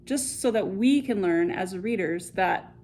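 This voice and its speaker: A woman hosting a children's story time, reading and chatting in an expressive, conversational way straight to young viewers. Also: mid-range voice, measured pace, slight background noise. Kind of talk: picture-book read-aloud with chatty asides.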